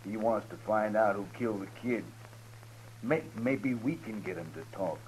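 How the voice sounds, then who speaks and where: An actor playing a menacing, lecherous outlaw in a 1950s radio western, halting and muttering as he talks. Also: on the low side, darkish tone, natural voice. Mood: sly, idle. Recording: noisy 1950s radio recording with hum.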